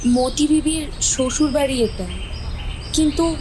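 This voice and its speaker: A woman playing a frightened, evasive young village wife in an audio drama, speaking in a halting, pleading way. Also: sits high, fairly even intonation, noisy background. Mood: confiding. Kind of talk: Bengali audio drama acting.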